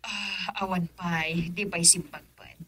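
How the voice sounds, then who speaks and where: An actress playing a smug, teasing older wealthy matron in a radio drama, with a Filipino accent. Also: female voice, on the low side, fairly even intonation, slow, sharp tone, breathy edge, heavily acted.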